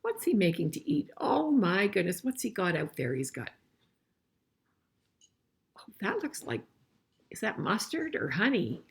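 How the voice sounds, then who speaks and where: An older woman reading a picture book aloud to children in an expressive way, pausing to chat and ask them questions. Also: medium pitch, gravelly, husky. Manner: expressive, asking listeners questions as she goes. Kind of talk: children's storybook read-aloud with conversational asides and questions.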